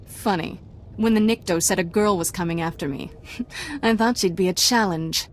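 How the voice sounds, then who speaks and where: A woman's voice: mid-range voice, moderate pace, lightly acted. Woman acting an arrogant young villainess, taunting. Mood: smug, mocking. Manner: taunting, haughty. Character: young, arrogant fallen Jedi cult leader, mocking, ruthless. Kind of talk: video game voice acting.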